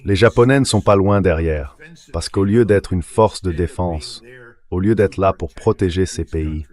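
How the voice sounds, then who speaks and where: A man's voice: sits low, unhurried, darkish tone. A man's synthetic dubbing voice, speaking as a guest giving translated interview commentary.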